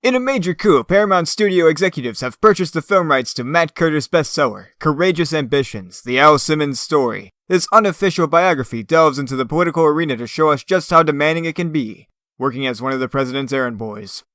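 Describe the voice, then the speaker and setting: A man acts a glib entertainment newsman in a comic dub. His fairly high voice delivers scripted items wryly, in a dry recording. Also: animated, fast, a bit bright, no echo. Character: glib, wry entertainment news reporter.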